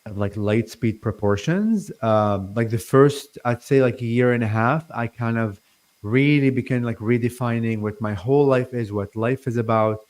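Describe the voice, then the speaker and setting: Halting Lebanese-born man in his forties. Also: medium pitch, a little mellow. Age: 40s. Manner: halting, many fillers and restarts, stutters. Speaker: guest channeler. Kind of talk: casual interview talk.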